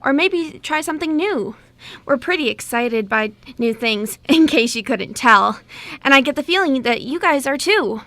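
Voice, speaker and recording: A woman's voice: sits high, animated, moderate pace, sharp tone, no room sound, exaggerated voice. A woman playing a friendly, tactful young sister in an amateur radio play, talkative and eager.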